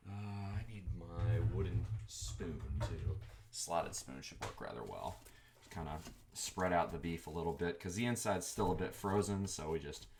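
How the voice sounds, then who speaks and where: A man's voice: sits low, creaky, noisy background. A man from Texas with a Southern accent, chatting casually and rambling as he cooks in his home kitchen. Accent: Southern American. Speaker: streamer cooking chili. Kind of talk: casual live-stream chat while cooking.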